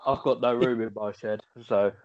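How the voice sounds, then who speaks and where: A man's voice: on the low side, moderate pace, no echo, lo-fi sound. A man co-hosting a podcast, trading jokes in casual banter and laughing often. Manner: jokey, animated, laughs often. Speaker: podcast co-host.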